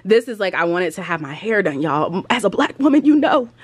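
A woman in her twenties chatting casually to her camera at home, with an animated, emphatic, slangy way of talking. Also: mid-range voice, fairly quick, husky. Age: 20s. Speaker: lifestyle vlogger. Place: indoors at home, kitchen and bedroom.

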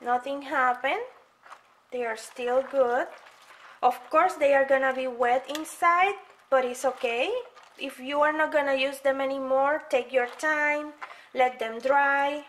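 A woman's voice: high-pitched, steady tone, unhurried, fairly bright tone. A woman casually reviewing household gloves at home, chatting and demonstrating as she goes. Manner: chatty, demonstrating while explaining. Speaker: product reviewer. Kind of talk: casual product review demo. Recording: echoey room, faint noise.

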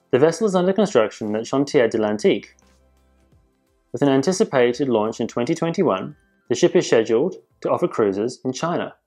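Male news presenter, informative measured voice-over with quiet music bed. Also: medium pitch. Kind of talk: scripted narration. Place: voice-over, not in pictured places.

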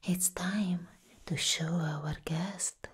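A woman playing a seductive, sinister plant villainess in a breathy whisper, as soft-spoken ASMR roleplay, slow and deliberate. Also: fairly low pitch, fairly even intonation, heavily acted. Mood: commanding, menacing.

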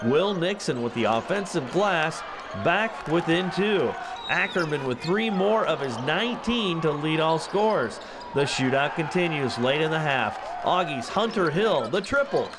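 A man narrating a scripted sports recap as a highlights commentator, with punchy, emphatic delivery. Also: lively intonation, a little slow. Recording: noisy gym crowd behind voice. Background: crowd and basketball bounces.

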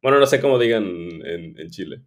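A man's voice: low-pitched, lively intonation, moderate pace. A man in his twenties hosting a casual interview, listening attentively and putting in short responses. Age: 20s. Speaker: podcast host, interviewer.

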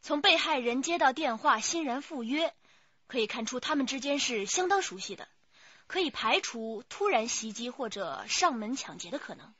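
A woman's voice: high-pitched, expressive, normal speed, a bit bright, slightly rough, no room sound, dull audio, full character voice. An actress playing a composed, analytical female police detective in a radio crime drama. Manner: reasoned, assertive.

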